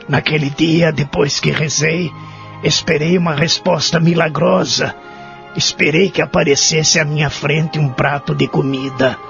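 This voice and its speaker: A man's voice: on the high side, vocal fry, a bit bright. A man acting an anxious, remorseful man of eighty-four from a care home in a Brazilian Portuguese audio drama. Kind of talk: audio drama acting and first-person narration. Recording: dull muffled audio with background music.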